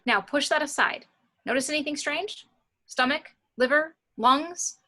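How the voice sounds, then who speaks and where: A woman's voice: sits high, unhurried, sharp tone. A woman playing a confident, flirtatious morgue doctor in an informal script read, wry and matter-of-fact. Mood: insistent.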